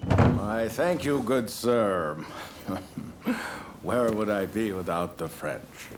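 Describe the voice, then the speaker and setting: Sly self-satisfied dignitary, deep, extremely unhurried, game cutscene, rumbling background noise. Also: male voice. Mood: jovial. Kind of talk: video game cutscene acting.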